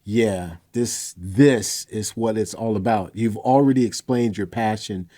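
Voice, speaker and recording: A man hosting a casual interview, asking questions in an expressive, conversational way and acting out imagined quotes. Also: lowish voice, a little slow.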